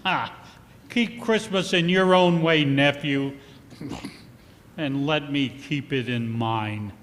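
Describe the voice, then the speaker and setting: In a reverberant hall, a man plays a bitter old miser in an old-time radio drama. His voice sits fairly high and moves at a slow, deliberate pace, barking out curt scorn. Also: a bit bright. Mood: bitter, mocking. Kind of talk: 1940s radio play acting. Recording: live performance in a reverberant hall.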